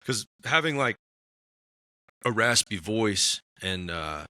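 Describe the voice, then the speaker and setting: A raspy-voiced man in casual podcast chat, talking about his music with lots of fillers and restarts. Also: on the low side, expressive, slow, sharp tone, no echo, studio quality. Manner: rambling, many fillers and restarts. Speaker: musician guest.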